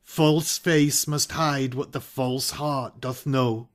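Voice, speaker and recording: A man playing a hesitant, ambitious nobleman in a Shakespearean audio drama, speaking verse in a measured way. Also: mid-range voice, fairly even intonation, close to an everyday voice. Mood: resolved.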